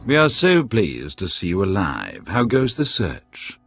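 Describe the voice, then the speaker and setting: A man's voice: lowish voice, wildly swooping pitch, unhurried, fairly bright tone, heavily acted. A courtly alien henchman for a game, super formal and flowery, lilts up and down, music behind. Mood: grave, measured. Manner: formal, florid, speaks as "we". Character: formal, courtly alien man speaking for a crime boss. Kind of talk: video game character acting. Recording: music under the voice.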